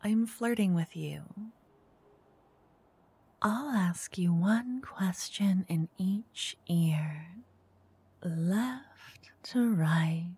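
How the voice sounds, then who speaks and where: A woman doing a soft-spoken ASMR roleplay as a flirty dungeon master. She teases, then whispers close into each ear in turn. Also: on the low side, drawn-out words, slightly rough, no room sound. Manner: teasing, flirty, switching between whispers and soft speech. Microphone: close binaural mic.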